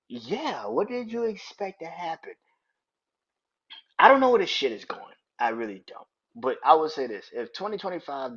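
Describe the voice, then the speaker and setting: A man's voice: a little high, slightly rough, lo-fi sound. A young man hosting a podcast, counting down a ranking in casual, profane talk, often laughing and getting worked up. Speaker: podcast host.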